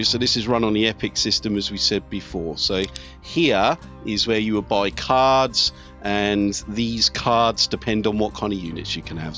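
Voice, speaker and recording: A man's voice: fairly low pitch, a bit bright. A middle-aged man giving casual let's play commentary on a strategy game, talking conversationally as he thinks aloud. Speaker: video host, gamer. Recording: game music behind the voice.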